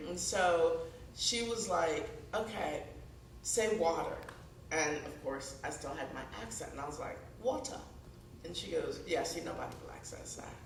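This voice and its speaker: Echoey, gravelly-voiced woman telling stories. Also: lowish voice. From born in Ghana, moved to the US at six. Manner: animated storytelling, acts out dialogue. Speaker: guest speaker, author. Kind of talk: conversational public talk with anecdotes. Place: high school event space, indoors. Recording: echoey room, distant mic, background noise.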